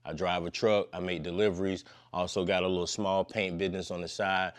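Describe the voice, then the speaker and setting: A man's voice: sits low, level tone, a little fast. A man in his fifties talking as a podcast guest in a laid-back, slangy, long-winded way. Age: 50s. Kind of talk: casual conversation.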